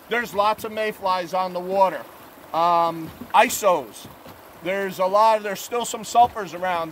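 Fortyish guy on a river boat, kinda high voice, laid back, lots of uhs, faint water noise behind. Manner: relaxed, plainspoken, filler-heavy. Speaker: fishing guide. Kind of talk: on-the-water fishing report. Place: outdoors on a drift boat on a river. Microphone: camera mounted on the boat at arm's length. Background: rushing river water.

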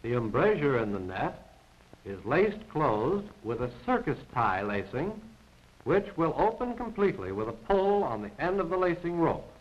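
A man's voice: on the high side, big pitch swings, normal speed. A man narrating a scripted 1940s military training film in a formal, precise, instructional manner. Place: voice-over recorded apart from the pictures. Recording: dull, band-limited 1940s film soundtrack.

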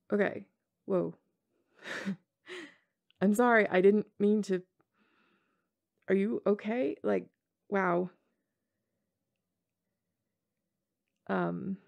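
A woman's voice: medium pitch, vocal fry, darkish tone. A woman playing a playful, teasing college-age tomboy gamer girl in an intimate ASMR roleplay, talking casually in slang with hesitations. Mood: flustered, awkward.

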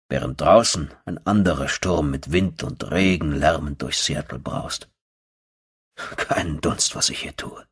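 A man narrating a first-person horror audiobook in a brooding, terse, dry manner with a husky voice. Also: low voice.

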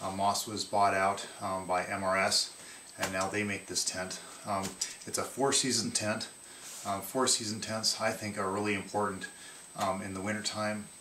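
A man in his thirties casually showing his outdoor gear from a table in his living room, pausing often with ums and restarting his sentences. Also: lowish voice, little pitch movement, sharp tone, roomy sound, far from the mic, a little hiss or hum. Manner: halting, many ums and restarts.

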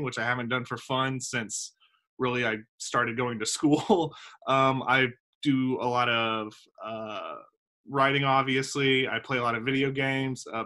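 A man's voice: mid-range voice, sharp tone. A man in his thirties from South Carolina, a theater director chatting casually in a video-call interview, with frequent ums and a self-deprecating manner. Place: home room with windows. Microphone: video call laptop mic.

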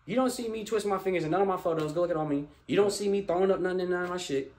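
A man's voice: high-pitched, darkish tone. A man in his early twenties from Tulsa, Oklahoma, talking as a guest in a casual podcast interview, emphatic and profane, in a living room. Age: early 20s. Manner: emphatic and profane, rapid run-on sentences. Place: living room couch, indoors.

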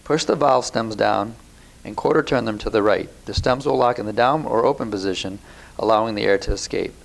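A man in his thirties giving calm step-by-step product instructions on a lapel mic, recorded in a bare indoor room. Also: on the low side, brisk, creaky, a little hiss or hum. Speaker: product demonstrator. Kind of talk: scripted instructional voice-over.

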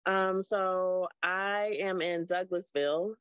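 A woman's voice: on the low side, fairly even intonation, slow pace, fairly bright tone, clear, resonant tone, dry recording. A woman in her late thirties from South Florida, speaking on a video call. Her talk is friendly and reflective, with plenty of ums. The audio is dull and dry. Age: 30s. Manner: friendly, thoughtful, with frequent ums. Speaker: guest. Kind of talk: casual video call chat. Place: small room indoors.

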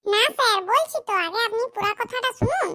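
A very high voice doing a jittery goat thief. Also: expressive, fairly quick, sharp tone, no room sound, exaggerated voice. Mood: pleading. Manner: rambling, pleading. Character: nervous young man who stole a goat, rambling excuses. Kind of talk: cartoon comedy dialogue.

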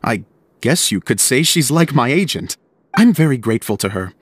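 Eager, animated theatrical stage director. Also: male voice, mid-range voice, moderate pace, husky, no echo. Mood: wistful, earnest. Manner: effusive, expressive, chatty. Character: eager, theatrical middle-aged male aspiring stage director. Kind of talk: video game dialogue acting. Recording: music behind the voice.

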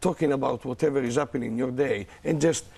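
A middle-aged man with a strong Italian accent and a husky, gravelly voice. He talks in halting, broken English, pausing often to find his words. He sits on a studio sofa as a guest chef. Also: medium pitch, a little mellow. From Italy. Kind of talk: casual television interview. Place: morning television studio sofa.

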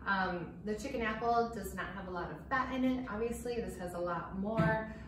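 A woman's voice: mid-range voice, normal speed. A woman in her thirties demonstrating a recipe in a home kitchen, chatting casually as she cooks. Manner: relaxed, chatty, explains while cooking. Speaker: cooking demonstrator. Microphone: far camera mic. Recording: echoey kitchen, distant mic, noisy.

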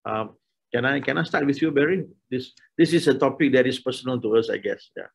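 Middle-aged male moderator on a video call; gravelly, hesitant delivery. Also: medium pitch, a little fast. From Malaysia. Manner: hesitant, many restarts. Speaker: panel moderator. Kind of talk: online panel discussion. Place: small room indoors.

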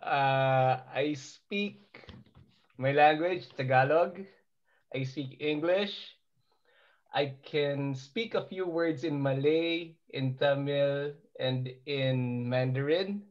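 Slow-talking man, playful. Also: a little high. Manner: playful, laughing between words. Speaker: medical director panelist. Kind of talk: casual panel answer. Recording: dull lo-fi video call audio.

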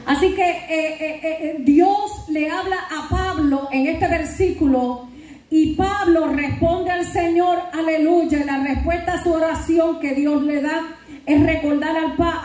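A woman's voice: sits high, a little mellow. A middle-aged woman pastor preaching a fervent sermon, peppering her words with hallelujahs and praise. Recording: very echoey hall, voice from far off.

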